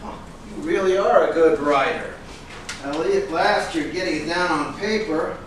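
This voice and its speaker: An actor in a staged reading playing a protective, admiring friend. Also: male voice, a little high, moderate pace, natural voice. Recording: echoey room from far off, faint noise.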